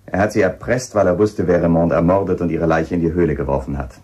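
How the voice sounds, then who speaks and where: An actor playing a stern, probing police inspector in a radio crime drama, questioning insistently and accusingly. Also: male voice, low-pitched, fairly even intonation, fast, dark tone, breathy edge.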